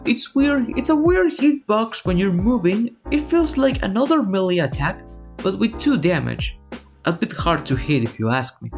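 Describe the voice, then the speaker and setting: A gaming video creator whose first language is Spanish, ranking game characters in a halting voice that often restarts its phrases. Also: mid-range voice.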